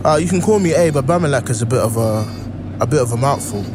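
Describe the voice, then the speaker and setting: A man's voice: mid-range voice, lively intonation, quick pace, noisy background, natural voice. An actor playing a friendly government informant in his twenties, casual and explanatory, in an audio drama. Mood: casual, welcoming. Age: 20s.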